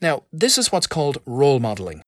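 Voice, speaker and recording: A man giving a measured, expressive lesson on public speaking. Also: mid-range voice, no echo, studio quality. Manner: expressive emphasis, measured delivery. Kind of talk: instructional talk.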